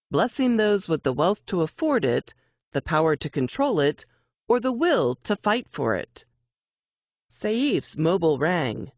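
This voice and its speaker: Expressive woman narrator, lively pitch swings. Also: no room sound, dull audio. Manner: expressive, suspenseful storytelling. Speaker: narrator reading fiction. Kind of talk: dramatic audiobook-style narration.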